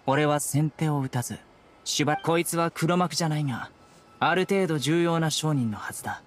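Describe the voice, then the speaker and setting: A man playing a stern, calm young investigator in an anime game, speaking bluntly with composure. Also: fairly high pitch, moderate pace, a little hiss or hum, lightly acted. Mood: calm, resolute. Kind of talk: Japanese anime game voice acting.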